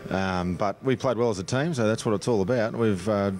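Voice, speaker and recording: A man's voice: fairly low pitch, brisk, a little mellow. An Australian man chatting casually as an interviewed golfer, blunt and self-deprecating. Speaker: amateur golfer being interviewed.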